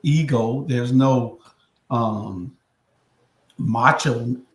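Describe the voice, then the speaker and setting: A man in his sixties leads a loose live-stream chat, his voice at an ordinary middle pitch. He hesitates often, piling up fillers and restarts before each point. The room around him is echoey. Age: 60s. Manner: halting, many fillers and restarts.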